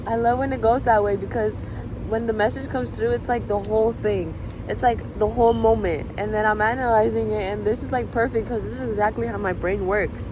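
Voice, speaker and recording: A young woman whose first language is Spanish, thinking out loud in a rambling, reflective monologue full of fillers, recorded outdoors. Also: fairly high pitch, steady tone, brisk. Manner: musing, filler-heavy, drifting between thoughts. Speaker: vlogger. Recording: street and traffic noise behind.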